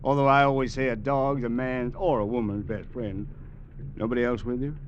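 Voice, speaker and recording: Actor as a sham interviewer, folksy, fake-friendly, muffled lo-fi sound, faint hiss. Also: male voice, mid-range voice, steady tone, moderate pace, natural voice. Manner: folksy, falsely friendly, then curt. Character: male agent posing as a folksy roadside interviewer. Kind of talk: 1950s radio drama acting. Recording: dull, hissy old radio broadcast.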